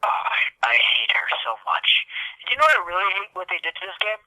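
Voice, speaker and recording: Casting: male gaming podcast co-host, very bright forceful voice, comic ranter, dry close sound. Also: sits high, fast, no echo, low-quality recording. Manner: animated, comic ranting. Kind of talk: casual joking chat about video games.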